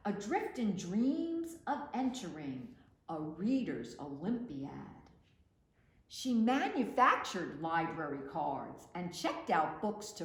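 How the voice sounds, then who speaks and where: A woman reading picture books aloud for children in an expressive, deliberate storytelling delivery, recorded in a roomy space. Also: medium pitch. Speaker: children's librarian, storytime reader. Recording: roomy echo with faint background noise.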